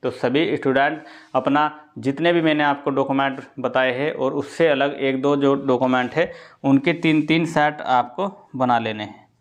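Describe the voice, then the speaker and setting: A man's voice: mid-range voice, fairly even intonation, normal speed, lo-fi sound. A man talks to students in a mid-range voice. He explains in an informal, instructive way, like a coaching presenter. He repeats key points and often checks in with his listeners.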